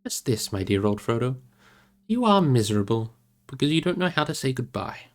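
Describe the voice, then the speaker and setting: A man voicing a brisk, practical young male hobbit who speaks in a direct, probing way in a storybook reading. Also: mid-range voice, sing-song. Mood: gentle, knowing.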